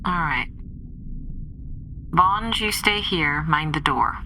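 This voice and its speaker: A woman playing a calm, cautious starship commander in a tabletop game, with a clipped, commanding manner. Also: low-pitched, slow pace, slight background noise, heavily acted. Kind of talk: tabletop roleplay in character.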